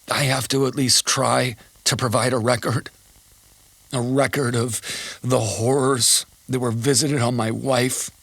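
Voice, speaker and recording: A man acting as a weary, haunted husband taping a confession after the end of the world. His mid-range voice is close and dry. He thinks aloud in halting phrases with pauses, in an introspective audio drama monologue. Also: mid-range voice, a bit bright, dry recording.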